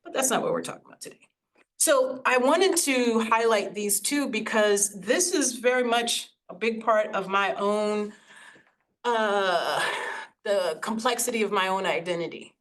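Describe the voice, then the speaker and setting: A woman raised in the United States by Haitian parents, Haitian Creole her first language, giving a reflective talk over slides and often pausing to search for words. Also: medium pitch, fairly bright tone. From United States, Haitian family. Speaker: guest speaker. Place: online recording, video call.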